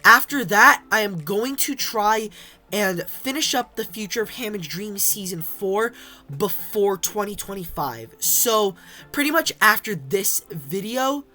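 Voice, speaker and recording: A young woman gaming creator, hoarse and raspy from being sick, chatting casually over her gameplay with frequent ums. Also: medium pitch, animated, unhurried, bright, forceful tone, soft background music. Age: young adult. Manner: chatty, many ums and restarts. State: hoarse from illness. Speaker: gaming YouTuber. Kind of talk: casual game commentary.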